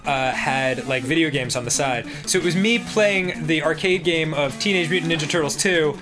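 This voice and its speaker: A man's voice: fairly high pitch, moderate pace. Laughing man telling stories over game music. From New Jersey. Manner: animated, laughs often, acts out quoted voices. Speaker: co-host. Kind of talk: casual storytelling while gaming. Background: video game music.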